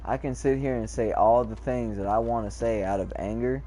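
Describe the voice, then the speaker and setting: A man chatting casually while playing an online game, rambling in a calm, lecturing way, repeating words and swearing freely. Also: medium pitch, moderate pace, slight background noise, poor audio. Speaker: gamer chatting in party.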